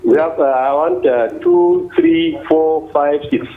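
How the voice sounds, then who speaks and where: A man with a Nigerian accent calls in to a live quiz and answers between halting pauses. He comes through a thin, tinny phone line. His pitch jumps widely between words. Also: huge pitch swings, soft background music. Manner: hesitant, many "uh" pauses while answering. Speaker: phone-in caller.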